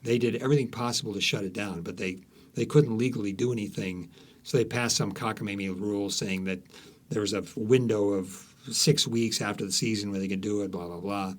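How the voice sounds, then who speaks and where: A man's voice: lowish voice, steady tone, fairly quick. A man speaking as an author being interviewed, telling stories conversationally with frequent false starts and repeated words.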